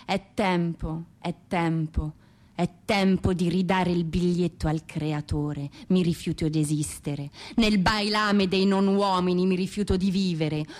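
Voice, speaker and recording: A woman's voice: on the low side, fairly even intonation, a little slow, a little mellow, close to an everyday voice. An actress playing an anguished exiled Russian woman poet, pleading yet defiant, in an impassioned, declamatory radio monologue. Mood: proud, defiant.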